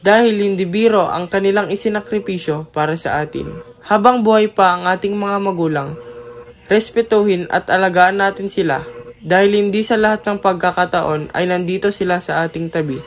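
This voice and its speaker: A woman narrator reads a scripted closing lesson in a mid-pitched voice. There is faint noise and room ambience in the recording. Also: moderate pace. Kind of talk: scripted moral-lesson narration for a puppet show.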